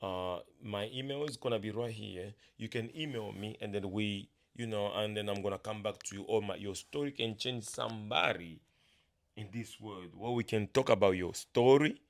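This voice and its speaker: Earnest motivational speaker, male, non-native English, lowish voice, halting delivery. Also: vocal fry. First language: not English. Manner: earnest, halting, many restarts and fillers. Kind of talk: casual motivational monologue.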